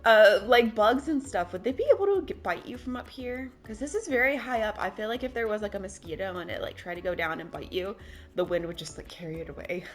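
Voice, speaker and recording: A woman's voice: mid-range voice, quick pace, faint music behind. A young woman with a slightly worn-out voice, chatting casually and rambling a little as she narrates her build. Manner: chatty, rambling, self-deprecating. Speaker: game build creator. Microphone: desk microphone.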